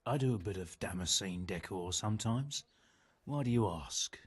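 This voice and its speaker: A man's voice: on the low side, lively intonation, moderate pace, slightly rough. An actor playing a matter-of-fact medieval master craftsman in a video game, a little dismissive.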